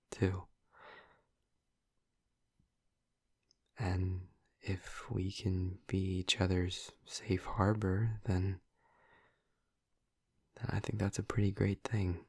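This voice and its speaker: A man playing a gentle, flirty, earnest vampire in an intimate audio roleplay, murmuring softly and hesitantly in a breathy, gravelly voice. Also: sits low, monotone, no echo, clean studio sound, full character voice. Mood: tender, sincere. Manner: soft, hesitant, halting, reassuring.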